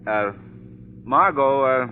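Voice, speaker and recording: A man's voice: mid-range voice, lively intonation, takes it slowly, slight background noise. An actor playing a wry, wealthy young man about town in a 1930s radio drama, with a dry, teasing manner. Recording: tinny old radio recording.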